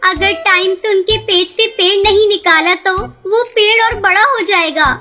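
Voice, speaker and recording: Woman as very high-pitched sweet young cartoon bird. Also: fairly even intonation, a little slow, sharp tone, exaggerated voice. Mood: worried, pleading. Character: kind, sensible young female bird daughter-in-law. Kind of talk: children's cartoon voice acting.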